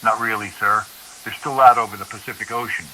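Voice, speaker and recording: General on radio: urgent, formal, clipped, piercingly forceful, light hiss. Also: male voice, on the low side, steady tone, fairly quick, rough recording. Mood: grave, urgent. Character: grave, urgent American military general reporting. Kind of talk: audio drama acting. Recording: hissy radio transmission, faint noise.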